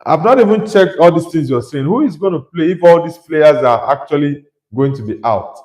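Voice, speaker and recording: A man's voice: fairly high pitch, lively intonation, brisk, darkish tone, echoey room. A young man from Nigeria hosting a casual football livestream, reading viewer comments aloud and answering with his own opinions. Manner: conversational, reads out chat messages and replies with opinions.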